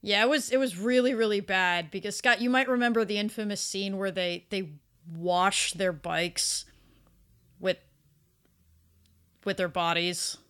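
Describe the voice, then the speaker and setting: A woman co-hosting a casual podcast discussion, talking in an animated, conversational way. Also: medium pitch, a little slow, long pauses, clean tone. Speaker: podcast co-host.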